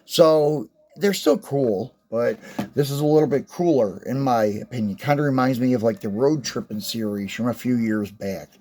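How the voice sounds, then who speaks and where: Casting: male host, folksy rambling collector chat, a bit hoarse, mid-pitched. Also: normal speed, dark tone. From West Virginia. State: slightly hoarse from a cold. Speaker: die-cast car collector and channel host. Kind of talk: casual hands-on product review.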